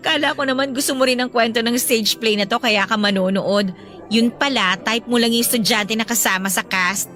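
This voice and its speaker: Woman playing a high-voiced young Filipino older sister. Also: moderate pace, fairly bright tone, faint music behind, lightly acted. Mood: teasing. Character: resentful, dutiful older sister, a young student. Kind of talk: Filipino radio drama acting.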